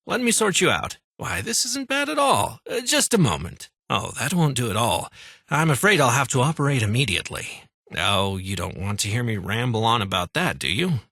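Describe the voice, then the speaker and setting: An actor playing a courteous, fussy military clinic doctor in a post-apocalyptic video game, with polite, formal bedside patter. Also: male voice, on the low side, gravelly, sharp tone, no echo, studio quality, exaggerated voice. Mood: reflective. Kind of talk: scripted video game dialogue.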